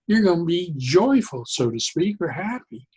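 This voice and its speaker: A man explaining ideas conversationally as an interview guest, in an animated, halting way, often repeating and interrupting himself. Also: sits high, husky. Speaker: podcast guest, psychologist turned joy advocate.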